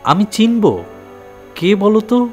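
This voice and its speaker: Patient young gentleman lawyer, gently probing, animated higher voice, radio drama, light music behind. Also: slow pace, heavily acted. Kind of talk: Bengali audio drama acting.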